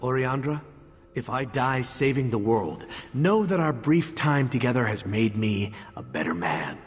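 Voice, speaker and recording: An actor voicing a gallant, sentimental adventurer knight in a video game, wry and melodramatic. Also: male voice, mid-range voice, big pitch swings, a little slow, husky. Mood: melodramatic, tender. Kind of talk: video game character acting. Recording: noisy background ambience.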